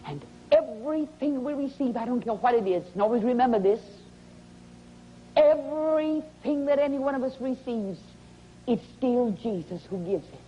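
A woman preaching a revivalist sermon in a dramatic, emphatic manner, drawing out words and dropping into breathy whispers, in a sanctuary. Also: high-pitched, dark tone. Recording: dull, noisy lo-fi archival sermon recording.

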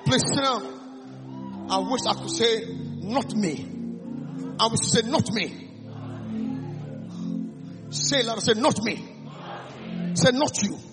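A man with a Ghanaian accent preaching a sermon, calling out lines for the congregation to repeat, dropping low and then rising to shouts. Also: slow pace, pauses often, over music. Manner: fiery call-and-response preaching, swings from hushed to shouting.

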